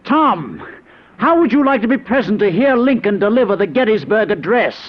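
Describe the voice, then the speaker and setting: Intense professor, animated, old radio sound. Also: male voice, sits high, normal speed. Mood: exultant. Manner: urgent, declamatory, commanding. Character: driven, intense male scientist professor. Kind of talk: 1950s radio drama acting. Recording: dull, faintly noisy vintage radio recording.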